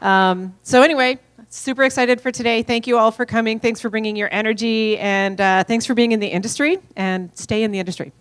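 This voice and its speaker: A woman's voice: a little high, steady tone, fairly quick, smooth, no echo. A middle-aged woman giving casual, enthusiastic welcoming remarks at a conference lunch, with plenty of fillers. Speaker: event speaker.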